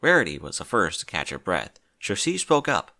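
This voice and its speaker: A man's voice: low-pitched, wildly swooping pitch, moderate pace, sharp tone. A man narrating a scripted story expressively, as a reader of fan fiction.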